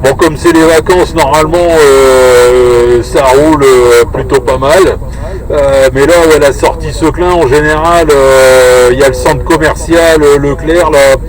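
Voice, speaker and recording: A man chatting casually on the radio, halting and repetitive, with frequent filler words. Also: mid-range voice, fairly even intonation, a crowd in the background, rough recording. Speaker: amateur radio operator chatting while driving. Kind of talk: casual radio contact chat. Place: inside a moving car. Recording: loud car and road noise throughout.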